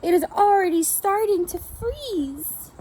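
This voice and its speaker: Cheerful storybook geese, played by a woman reader, with a high voice. The delivery is friendly and slowly drawn out. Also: animated, darkish tone, full character voice, poor audio.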